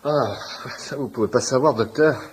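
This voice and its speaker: An actor playing a flustered, eager middle-aged patient who fancies himself a healer, stammering and apologetic in a French radio comedy. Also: male voice, medium pitch, normal speed, a little hiss or hum, close to an everyday voice. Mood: eager, fussy. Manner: stammering, apologetic, self-justifying.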